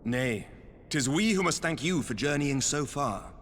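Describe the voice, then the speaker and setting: Noble game leader, formal old-timey speeches, stern, long hall reverb, faint noise. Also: male voice, mid-range voice, lively intonation, unhurried, fairly bright tone. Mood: gracious. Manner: archaic, courtly, oratorical. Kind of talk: video game cutscene acting.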